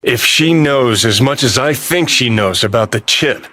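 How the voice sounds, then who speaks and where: Johnny Silverhand, sardonic American rebel, forceful edge. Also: male voice, medium pitch, lively intonation, measured pace. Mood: irritated, bitter. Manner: sardonic, profane, contemptuous drawl. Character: Johnny Silverhand, cynical, abrasive dead rock rebel. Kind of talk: video game voice acting.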